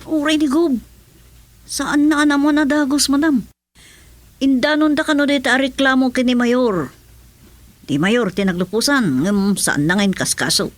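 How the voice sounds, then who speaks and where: Actress as a higher-voiced, chatty female aide. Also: moderate pace, no echo, lightly acted. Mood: gossipy. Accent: Filipino. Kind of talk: radio drama acting.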